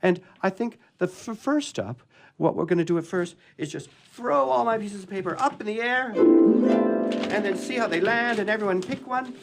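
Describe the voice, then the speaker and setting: Gravelly, rambling male radio host. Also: high-pitched, expressive, moderate pace, music in the background. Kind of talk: informal radio show hosting.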